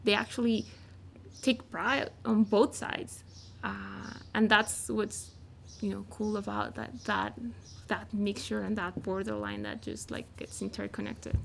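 A woman from Mexico with a Mexican accent, a native Spanish speaker, talking reflectively as an interview guest and often pausing to search for words. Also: mid-range voice, level tone, gravelly, a bit bright, a little hiss or hum. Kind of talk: casual interview conversation.

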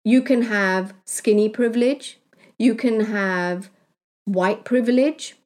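Slow, deliberate woman healer. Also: medium pitch, darkish tone. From Kenya. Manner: slow, deliberate, with tag questions. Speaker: spiritual healer. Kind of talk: reflective monologue.

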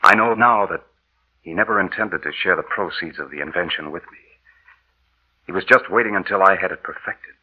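A man's voice: deep voice, fairly quick, slightly rough, phone-quality sound. An actor playing a trusting, earnest inventor betrayed by his partner, delivered with emphatic old radio horror drama acting. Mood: bitter, haunted. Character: trusting, eager male inventor, now a bitter dead narrator. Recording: old 1930s-40s radio broadcast recording.

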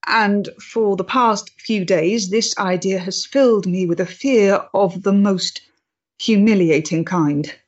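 A woman's voice: medium pitch, slow, highs cut off. An actress playing a proud, intense, troubled freethinking woman of the 1890s in a period stage drama, speaking emphatically and articulately. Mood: fearful, confessing.

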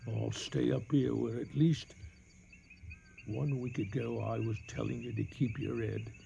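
An actor with a British accent playing a frightened colonial police inspector in a radio drama, agitated and halting in delivery. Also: male voice, medium pitch, steady tone, gravelly, mellow, slight background noise. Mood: frightened, rattled. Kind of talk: 1980 radio drama acting.

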